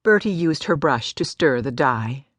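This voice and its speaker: A woman's voice: low voice, animated, unhurried, no echo. A woman giving a scripted story narration as an audiobook narrator. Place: dry studio.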